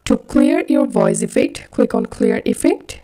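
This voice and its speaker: Slow, mellow woman giving tutorial steps. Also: sits high, lively intonation, poor audio. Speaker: software tutorial presenter. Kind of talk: step-by-step tutorial narration.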